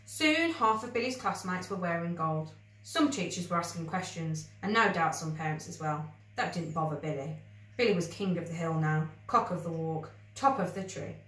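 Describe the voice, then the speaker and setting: A woman reading a children's story aloud with expressive narration. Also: lowish voice, moderate pace. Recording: roomy, echoey, distant microphone.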